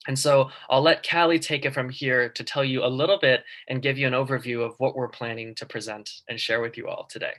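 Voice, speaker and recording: Young male student presenter, earnest storyteller, bright tone, video call. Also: medium pitch, fairly even intonation, brisk, few pauses. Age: young adult. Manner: earnest, personal storytelling with frequent ums. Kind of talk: class presentation.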